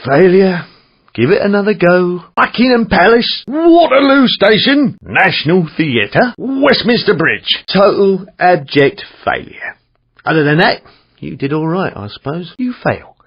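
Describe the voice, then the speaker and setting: Gravelly, theatrical driving examiner. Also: male voice, high voice, big pitch swings, unhurried, exaggerated voice. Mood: announcing. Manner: theatrical, sardonic. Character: droll, exasperated male stunt-driving school examiner. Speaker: driving test instructor. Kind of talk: video game voice acting. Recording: dull lo-fi game audio.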